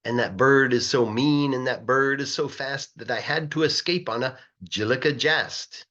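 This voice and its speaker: A man reads a children's story aloud in an expressive, sing-song rhythm, stretching words for emphasis like a teacher reading to a class. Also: medium pitch, normal speed, muffled recording. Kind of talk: animated rhyming storybook narration.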